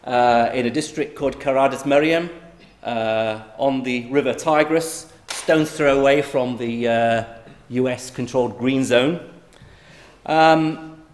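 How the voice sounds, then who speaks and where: A man's voice: medium pitch, slow pace. A middle-aged man from Iraq giving a conversational public lecture, with frequent fillers and restarts. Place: lecture hall. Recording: echoey lecture hall.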